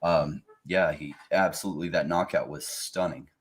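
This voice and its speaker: A man's voice: very deep voice, moderate pace. A man on a casual podcast panel who talks emphatically and lays out long, opinionated arguments.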